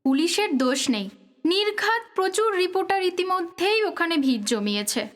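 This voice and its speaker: Very high-voiced caring wife. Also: female voice, lively intonation, normal speed, fairly bright tone. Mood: urging. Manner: chiding, familiar. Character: caring, frank wife of a journalist. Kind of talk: audio drama acting.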